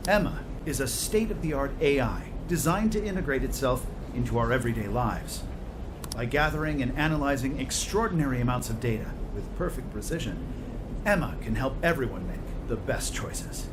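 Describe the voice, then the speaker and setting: A man playing a composed, confident tech company CEO who gives a clearly stated lecture in a video game. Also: mid-range voice, expressive, moderate pace, noisy background, lightly acted. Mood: composed, persuasive.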